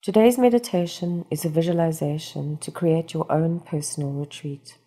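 A woman leading a guided meditation, speaking in a calm, soothing and unhurried instructional manner. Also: low-pitched.

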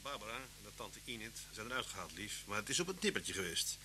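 An actor playing a lively, wry, brisk detective in a vintage radio drama. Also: male voice, on the low side, crowd noise. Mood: grave, explanatory. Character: lively male Scotland Yard detective, wry, brisk husband. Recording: noisy old radio recording with hum.

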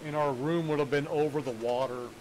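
A man's voice: fairly high pitch, steady tone, fairly quick, a little mellow, poor audio. A man in his thirties chatting casually and slangily as he streams a flight simulator game from a home room. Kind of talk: casual livestream chat while playing a flight simulator. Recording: engine-like game noise behind voice.

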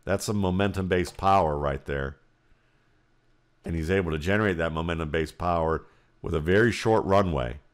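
A man with an American accent and a deep voice breaks down sports footage. He talks in an explanatory way, working out his analysis aloud as the play runs. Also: normal speed. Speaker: football film analyst. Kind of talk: play-by-play film breakdown commentary.

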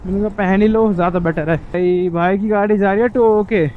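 A young man talks in a high-pitched voice. He chats informally, like a motovlogger addressing his buddies, with some traffic noise around him. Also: steady tone, a little fast, phone-quality sound. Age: 20s. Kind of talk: casual chat to camera. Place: on a motorbike in city streets, later inside a small helmet shop. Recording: noisy street and traffic sound. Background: traffic and vehicle noise.

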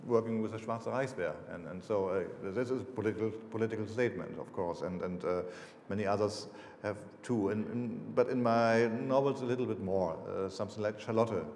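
A man's voice: on the low side, brisk, hardly any pauses, a little mellow, slight background noise. A German-accented man in his fifties, a native German speaker, answering audience questions about his books, hesitant, with many fillers and restarts. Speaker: author. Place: lecture hall, seated on stage. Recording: roomy lecture hall echo.

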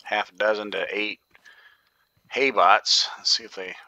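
Bright-voiced man muttering over gameplay. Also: fairly low pitch, steady tone, measured pace, no room sound. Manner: thinking aloud, often dropping to a whisper. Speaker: gaming YouTuber. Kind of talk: casual gameplay commentary.

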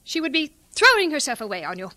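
An actress playing a stern, domineering Victorian missionary guardian in an audio drama, indignant and scornful. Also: female voice, high voice, big pitch swings, fast, bright, forceful tone, heavily acted. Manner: indignant, clipped, imperious.

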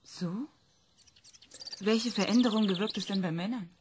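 An actress in a radio play, playing a polite but skeptical interviewer who asks cool, probing questions. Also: female voice, medium pitch, normal speed, background noise, natural voice.

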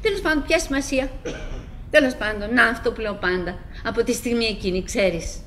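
An actress playing a chatty, wistful middle-aged wife in a theatrical radio drama, musing and rambling with dramatic pauses. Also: female voice, fairly high pitch, a bit bright, close to an everyday voice. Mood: musing, subdued. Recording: noisy old radio drama recording.

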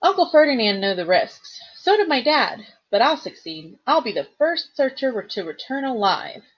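An earnest young male satyr, put on by a woman reading aloud, speaks in a plaintive, emphatic way with a slightly husky edge. The audiobook recording sounds dull and lo-fi. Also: fairly high pitch, big pitch swings, normal speed, pitched above the performer's own voice.

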